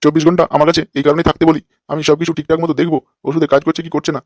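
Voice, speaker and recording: A man doing a rapid-fire crude cartoon holy man. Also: a little high, level tone, a little mellow, no room sound, lightly acted. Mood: self-righteous. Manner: brash, crude, scolding. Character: crude, lecherous, foul-mouthed holy man, a fake sadhu. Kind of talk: comic cartoon dialogue.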